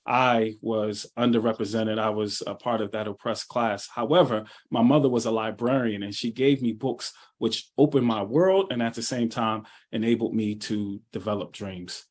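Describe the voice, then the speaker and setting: A man's voice: lowish voice, normal speed, few pauses. A man from Philadelphia introducing himself on a webinar panel, earnest and stacking phrases in lists. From Philadelphia, USA. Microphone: video call.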